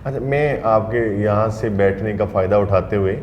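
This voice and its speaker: A man's voice: fairly low pitch, moderate pace, a little hiss or hum. Man, interview host, long winding questions, dull recording, echoey room.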